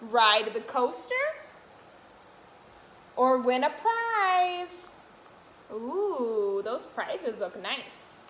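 A woman's voice: high-pitched, sing-song, extremely slow, pauses often, fairly bright tone. A young adult woman reading aloud and teaching small children in a sing-song way, asking simple questions. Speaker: toddler classroom helper. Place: indoor classroom, sitting on the floor. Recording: roomy echo, faint room noise.

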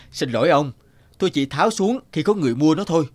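Guy doing an earnest proud painter in a cartoon, highish voice, firm, music behind. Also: expressive, fairly quick, darkish tone. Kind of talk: animated moral tale dubbing.

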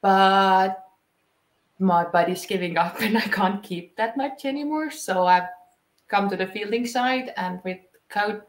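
Echoey young woman chatting informally. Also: mid-range voice. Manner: informal, slightly halting, giggly. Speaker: cricket player and coach. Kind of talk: casual interview chat. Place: small indoor room, seated on a couch. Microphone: shared video call microphone, at some distance. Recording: roomy echo on a video call.

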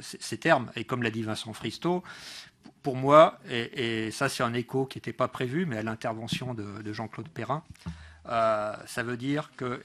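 A man giving a political speech as a local councillor, halting often and correcting himself as he goes. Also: mid-range voice, a bit bright. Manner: hesitant delivery, frequent pauses and self-corrections. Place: council meeting room.

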